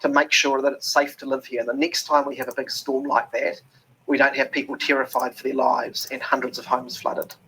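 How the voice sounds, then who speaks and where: A man's voice: medium pitch, little pitch movement, brisk, vocal fry, pushed and bright, slightly rough, rough recording. A man with a New Zealand accent, an interview guest talking earnestly with many ums and restarts. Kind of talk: reflective interview talk.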